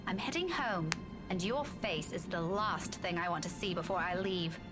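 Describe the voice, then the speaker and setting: An actress playing a guarded, sharp-tongued young woman in a video game. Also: on the low side, moderate pace, natural voice. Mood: weary annoyance. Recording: game dialogue over loud music.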